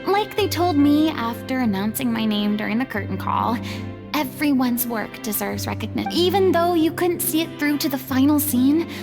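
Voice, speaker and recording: An actress voicing a graceful former stage star, speaking gently and articulately over game music. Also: female voice, high voice, moderate pace. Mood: warm, reflective. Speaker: game character. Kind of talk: game voice acting. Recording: game audio with loud music.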